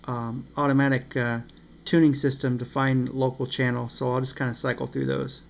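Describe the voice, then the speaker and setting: Halting man over loud static. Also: medium pitch, flat delivery, creaky, dull audio. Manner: hesitant, many ums and restarts. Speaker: radio reviewer. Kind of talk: casual product demo.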